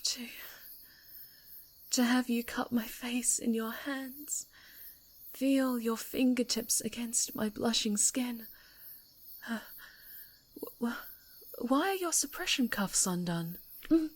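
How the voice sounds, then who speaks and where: A woman playing an obsessive villainess superhero in an intimate, theatrical ASMR roleplay, with breathy, halting delivery. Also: fairly high pitch. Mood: tender, breathless. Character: obsessive yandere superhero villainess, pleading and enraged.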